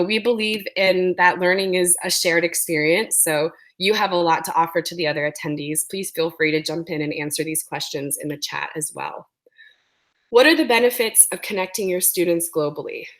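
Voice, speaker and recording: A woman's voice: on the low side, fairly bright tone. A woman presenting an educational webinar, speaking with a sore throat and explaining things with frequent ums.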